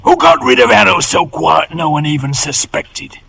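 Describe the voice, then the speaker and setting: A man's voice: a little high, huge pitch swings, normal speed, creaky, pushed and bright, exaggerated voice. A man playing a cunning, commanding old cook in a radio drama. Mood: menacing command. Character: cunning, commanding old pirate ship's cook, mutiny leader. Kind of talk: 1940s-50s American radio drama acting. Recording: noisy old radio broadcast recording.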